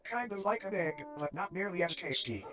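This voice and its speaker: A man reading scripted horror documentary narration in a measured, matter-of-fact way with dry dark humour. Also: sits high, animated, muffled recording. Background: clear background music.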